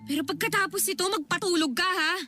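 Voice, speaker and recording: An actress playing a sullen, irritable younger sister in a radio drama, whiny, stubborn and defiant. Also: female voice, very high voice, fast talker, sharp tone. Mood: weary, annoyed. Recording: music behind the voice.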